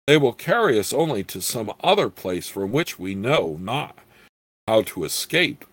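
A gruff and impatient barbarian from a fantasy storybook, read by a man. His speech is blunt, stern and formal, with animated pitch. The recording is dry. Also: measured pace, no room sound. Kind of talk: fantasy storybook character reading.

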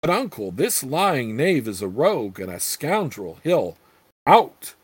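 A man's voice: medium pitch, very animated, unhurried, husky, dry recording. A man reading the lines of a spoiled young nobleman in a whining, raised voice.

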